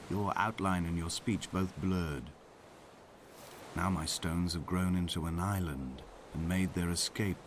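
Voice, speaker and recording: An actor playing a despairing, brooding castaway who narrates a video game in solemn, measured, poetic monologue. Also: male voice, low-pitched, background noise. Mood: mournful, haunted. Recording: music loud under the voice. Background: loud game soundtrack music.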